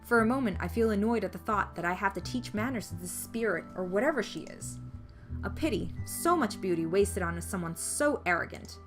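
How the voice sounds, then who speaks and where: A woman reading a story aloud as scripted narration, in an expressive storytelling manner. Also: mid-range voice, fairly quick. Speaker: visual novel player reading the text aloud. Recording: music behind the voice.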